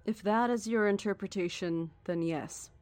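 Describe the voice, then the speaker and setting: Actress as creepy calm cult founder lady, formal n measured, mid pitch, dry horror podcast sound. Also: dry recording, lightly acted. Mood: calm, knowing. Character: calm, cryptic female cult founder, coldly certain, faintly menacing. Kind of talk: horror audio drama acting.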